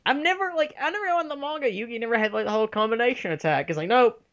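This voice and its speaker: A man's voice: extremely high pitch, animated, racing, a bit bright. A man co-hosting a casual fan commentary with crude, flippant, playful riffing, recorded on a dull lo-fi track. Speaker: co-host of a fan movie commentary. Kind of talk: casual joking banter.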